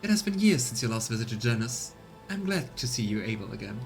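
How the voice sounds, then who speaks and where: A man's voice: medium pitch, big pitch swings, fairly quick, gravelly, music in the background. A man voicing a gracious noblewoman governor who speaks in a formal, ceremonious way, for a game read-along. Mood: courtly, gracious.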